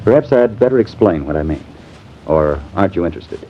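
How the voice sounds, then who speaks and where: An actor playing a sardonic, disillusioned husband in a mannered, theatrical 1950s American radio drama style. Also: male voice, low-pitched, expressive, brisk. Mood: wry, bitter. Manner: wry, mannered, theatrical delivery. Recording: dull, hissy vintage radio recording.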